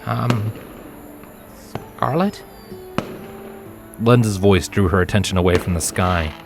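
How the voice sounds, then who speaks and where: A man reading fiction aloud as an expressive narrator of a scripted story. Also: sits low, a little fast, over music.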